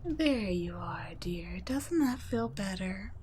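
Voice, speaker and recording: A woman voicing a cool, condescending female vampire scientist in an ASMR roleplay, soft-spoken and patronizing, often dropping to a whisper. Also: mid-range voice, lively intonation, takes it slowly, a little hiss or hum. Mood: soothing.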